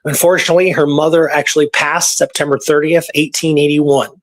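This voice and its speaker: A man's voice: a little high, flat delivery, a little fast, rough recording. A man hosting a podcast, talking casually about history in an informal, explanatory way and repeating points for emphasis. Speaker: podcast host. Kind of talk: casual conversational history talk.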